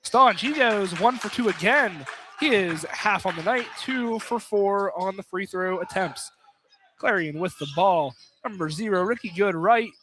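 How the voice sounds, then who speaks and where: A man's voice: high-pitched, measured pace. A man giving live basketball play-by-play as a sports announcer. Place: college field house during a game. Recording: clearly noisy live gym broadcast.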